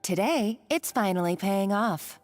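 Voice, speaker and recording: A woman's voice: medium pitch, expressive, unhurried, natural voice. An actress playing a domineering, condescending villainess who taunts her accomplice in a scripted video game scene. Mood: smug, bossy. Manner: smug, condescending, theatrical. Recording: game music underneath.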